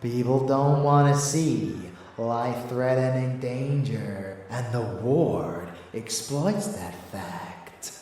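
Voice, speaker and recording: Man playing a very slow, echoey, menacing vampire lover. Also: mid-range voice, darkish tone. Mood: sly, smug. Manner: slow, drawn-out, teasing delivery with profanity. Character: menacing, rebellious male vampire lover, possessive, bloodthirsty, seductive. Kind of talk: ASMR audio roleplay, intimate dramatic acting. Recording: echoey, distant, faint background noise.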